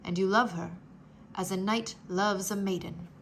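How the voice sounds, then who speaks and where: Storybook mouse threadmaster, read by a woman: male, low, confiding, courteous but bound by rules; faint hiss. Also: steady tone, measured pace, creaky. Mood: hushed. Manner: low hushed murmur, polite and confiding.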